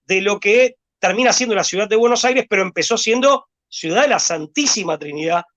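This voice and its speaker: High-voiced Argentine man musing. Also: fairly quick, a bit bright. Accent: Rosario Argentine Spanish. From Rosario, Argentina. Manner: rambling, hesitant with repeated words and fillers. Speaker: guest. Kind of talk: discursive conversation.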